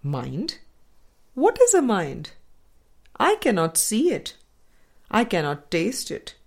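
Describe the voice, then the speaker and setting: A woman reading a spiritual dialogue book aloud as an audiobook, in a measured, deliberate manner with pauses. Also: mid-range voice, huge pitch swings, gravelly. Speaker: audiobook reader.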